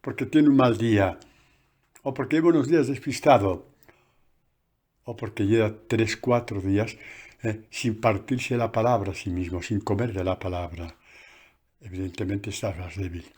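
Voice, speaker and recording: Husky-voiced priest preaching casually. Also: male voice, lowish voice, normal speed. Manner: conversational, animated preaching with asides. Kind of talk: sermon catechesis. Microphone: phone.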